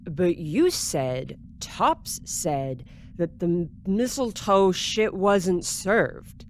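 A woman's voice: fairly low pitch, lively intonation, very slow, a little hiss or hum. A woman playing a blunt, hard-partying security officer in an audio drama, with a casual, unimpressed drawl.

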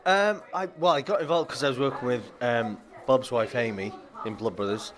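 A man's voice: medium pitch, normal speed, a bit bright. A man, an actor, answering interview questions eagerly, heaping on praise and laughing easily.

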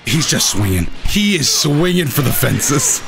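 A young adult man giving excitable, self-deprecating live commentary over his own gameplay as the host. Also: mid-range voice, unhurried, creaky, over music, crowd noise. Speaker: gaming video host.

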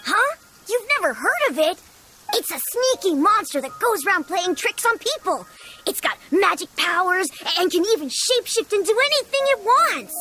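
A woman's voice: very high-pitched, very animated, moderate pace, sharp tone, exaggerated voice. A woman voicing an eager, stubborn young village child in a video game. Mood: excited. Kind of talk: video game character dialogue. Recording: music behind the voice.

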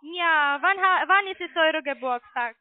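High-voiced woman on a tinny phone line. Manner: halting, apologetic. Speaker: call center agent. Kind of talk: halting sales phone call.

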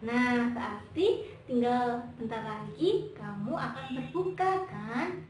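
A young woman, teaching children in an animated, teacherly storytelling style in an echoey room. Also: fairly high pitch, slow pace. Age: young adult. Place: indoor room, plain wall, medium camera distance. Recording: echoey room, distant mic, faint noise.

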